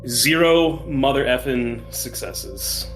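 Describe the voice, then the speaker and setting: A man's voice: medium pitch, expressive, slow pace, a bit bright, poor audio. A man playing a tabletop game, bantering casually in a slangy, irreverent way. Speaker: player in a tabletop game podcast. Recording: music bed behind the voice.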